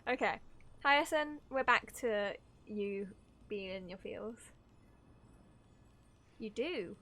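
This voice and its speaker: A woman livestreaming a game with casual, excitable chat, giggly and easily sidetracked. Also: mid-range voice, extremely slow, long pauses. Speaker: livestreamer reacting to a video game.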